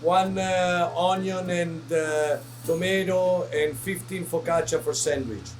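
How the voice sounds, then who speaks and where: A middle-aged man from Italy with an Italian accent, a restaurant co-owner chatting casually and haltingly, with many fillers and a few laughs. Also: high-pitched, fairly even intonation, slight background noise. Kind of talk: casual interview chat.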